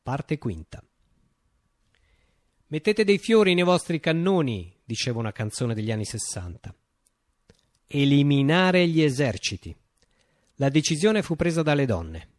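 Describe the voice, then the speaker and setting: An actor playing a visionary man recounting his utopian revolution in a declamatory, deliberate radio monologue. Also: on the high side, big pitch swings, no room sound. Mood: measured, wry. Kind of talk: theatrical radio monologue, dramatic storytelling.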